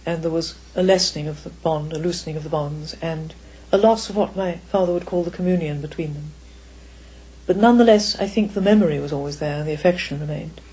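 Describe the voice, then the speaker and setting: A woman's voice: low-pitched, quick pace, warm tone, husky, rough recording. An older woman reminiscing in an interview, careful, articulate and formal. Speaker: author's daughter, interviewee. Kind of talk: reflective interview.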